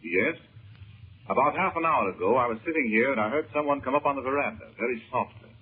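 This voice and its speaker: An actor playing a grave, protective uncle in a 1930s radio serial, speaking in a measured, earnest way. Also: male voice, mid-range voice, lively intonation, husky. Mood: troubled, subdued. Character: troubled, grave uncle who works magic, protective and subdued. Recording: muffled, tinny 1930s radio recording.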